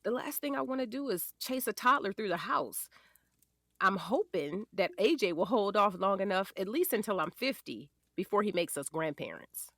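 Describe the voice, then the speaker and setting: A woman's voice: mid-range voice, animated, normal speed, a bit bright, no room sound, clean studio sound. A woman playing a doting but firm wife and mother in her forties, teasing and sassy, in a naturalistic audio drama.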